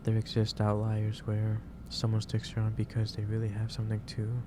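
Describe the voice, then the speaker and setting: Low-voiced, halting gentle boss played by a man. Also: completely flat delivery, close to an everyday voice. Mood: gently concerned. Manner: halting, repeats and restarts phrases. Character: gentle, caring office boss lecturing a tired worker. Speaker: ASMR roleplay performer. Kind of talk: soft-paced ASMR roleplay monologue. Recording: clearly noisy background hiss or ambience.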